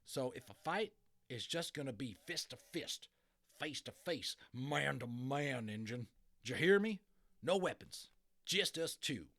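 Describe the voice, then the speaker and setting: A man's voice: mid-range voice, expressive, measured pace, a bit bright, voice pushed up. Man putting on a blustering, drawling little cowboy. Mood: blustering challenge. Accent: American Old West cowboy drawl. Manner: folksy, drawling, combative. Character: tiny grumbling American cowboy, blustering and complaining. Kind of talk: dramatic audiobook character reading.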